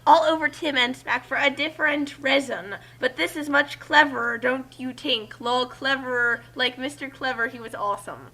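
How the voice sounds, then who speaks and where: A woman's voice: sits high, fairly even intonation, normal speed, few pauses, pushed and bright. A woman giving casual, joking commentary with sarcastic interjections.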